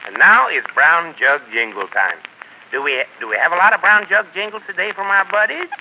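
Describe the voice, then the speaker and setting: Folksy man, children's radio host, very bright voice, faint hiss. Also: fairly high pitch, lively intonation, brisk, dull audio. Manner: folksy, chatty. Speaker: children's show host and narrator. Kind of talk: 1940s radio storytelling and hosting. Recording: noisy 1940s radio broadcast.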